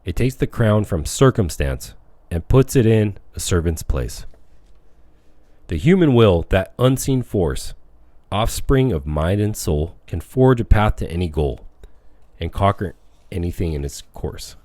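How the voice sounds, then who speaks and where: A man narrating a self-help audiobook in a measured, emphatic way, phrase by phrase. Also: deep voice, animated, mellow, dry recording. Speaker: audiobook reader.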